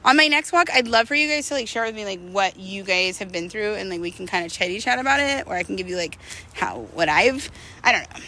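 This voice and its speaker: A woman's voice: mid-range voice, animated, fast talker, bright, forceful tone. A woman in her thirties talking in a candid, rambling, slangy way, as in a casual confessional walking vlog. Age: 30s. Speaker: vlogger.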